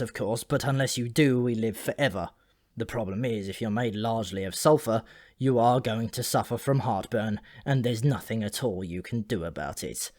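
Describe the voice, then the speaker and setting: A man's voice: on the low side, darkish tone, dry recording, close to an everyday voice. Ancient dwarf for a fantasy audiobook , acted by a man: dyspeptic, gloomy, rambling, grudgingly friendly, recorded dry.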